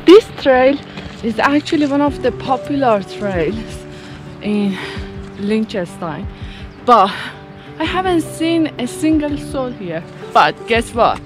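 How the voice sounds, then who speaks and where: Travel vlogger, woman, outdoors on a trail, slow and upbeat, music bed behind. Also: on the high side, animated. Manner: enthusiastic, exclaims at views. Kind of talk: casual vlog narration while hiking.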